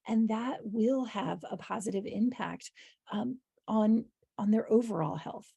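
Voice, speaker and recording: A woman leads a training in a mellow, hoarse voice with a gravelly creak at the edges. She explains things conversationally, like an educator presenting to colleagues. Her pitch sits in an ordinary middle range, and the huskiness stays throughout. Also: measured pace, dry recording, dull audio. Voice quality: hoarse, husky. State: hoarse voice, clearing her throat. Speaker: early childhood educator leading a training. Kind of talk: informal instructional presentation with examples.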